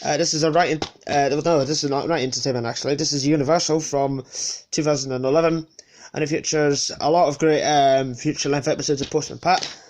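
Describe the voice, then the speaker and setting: A man's voice: a little high, steady tone, brisk, a little mellow. A man with a sniffly cold casually presenting his collection unscripted, hesitating and correcting himself as he goes. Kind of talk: casual unscripted show-and-tell.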